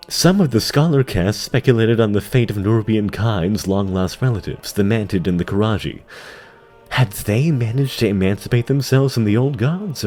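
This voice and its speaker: A man giving dramatic scripted narration for a fantasy tale, grave, deliberate and theatrical. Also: lowish voice, a little mellow. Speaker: narrator of a fan audio drama.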